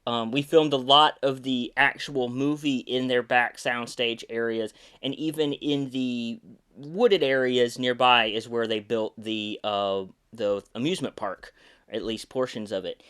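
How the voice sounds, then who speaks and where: Male podcast host, 30s, casual and halting storyteller, middle pitch. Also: steady tone, fairly bright tone, clean tone. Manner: hesitant, many ums and restarts. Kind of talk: casual behind-the-scenes storytelling.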